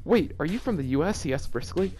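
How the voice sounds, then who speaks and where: Higher-pitched man reading fan fiction with incredulous asides. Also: animated, fast, warm tone, faint music behind. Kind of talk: casual read-aloud with joking commentary.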